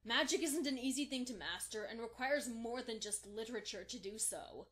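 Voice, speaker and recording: A woman's voice: on the high side, moderate pace, fairly bright tone, close to an everyday voice. A woman playing a confident, sympathetic young unicorn mare who mentors earnestly in a fan audio drama. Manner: earnest, assured, consoling.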